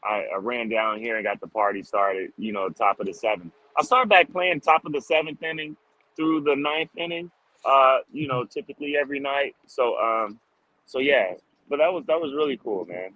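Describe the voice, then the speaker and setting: A man's voice: medium pitch, normal speed, sharp tone, no room sound, highs cut off. A man answering interview questions casually as a street musician, upbeat and informal, often dropping in "like" and "man". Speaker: street drummer guest.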